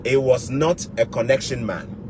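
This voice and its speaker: A man's voice: mid-range voice, normal speed, background noise. A Ghanaian man giving motivational advice to camera in an emphatic way, repeating key words and phrases for weight. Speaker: YouTube vlogger.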